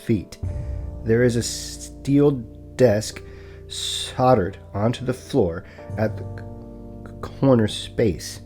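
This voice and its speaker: An actor playing a formal, deferential property broker who stutters, in an audio drama. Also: male voice, medium pitch, extremely slow, long pauses, warm tone, faint music behind. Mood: polite, halting. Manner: formal, precise, apologetic.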